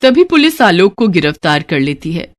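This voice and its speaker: A woman's voice: lowish voice, big pitch swings, moderate pace, dry recording. A woman narrating a scripted moral bedtime story as a storyteller.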